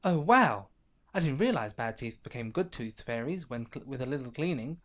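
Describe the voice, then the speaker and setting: Lady doing a kids cartoon story guide, super swoopy expressive voice, talks right to you. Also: very deep voice, moderate pace, exaggerated voice. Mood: friendly. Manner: expressive, addressing the listener directly. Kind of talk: scripted children's animation narration.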